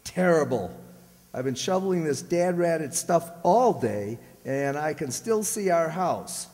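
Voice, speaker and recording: A man's voice: on the high side, animated, a little slow, darkish tone. Man playing a grumbling husband in a reverberant hall. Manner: folksy, grouchy complaining. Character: grumbling, exasperated married man shoveling snow. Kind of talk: old-time radio comedy acting.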